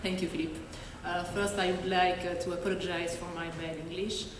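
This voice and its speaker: A woman's voice: on the low side, level tone, moderate pace. Careful woman reading, very echoey hall. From Belgium. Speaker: panelist. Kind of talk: reading a prepared statement. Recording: distant, very echoey hall with noise.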